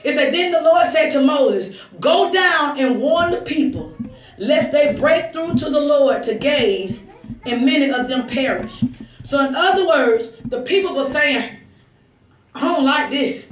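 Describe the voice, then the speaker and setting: A woman preaches in a high voice, picked up from a distance in an echoey room. Her delivery is exhortatory and emphatic, moving between pointed conversational asides and forceful, raised declarations. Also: animated, a little slow. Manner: exhortatory, emphatic, swings from hushed asides to shouts. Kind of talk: fervent sermon with scripture reading and conversational asides. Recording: echoey room, distant mic, faint noise.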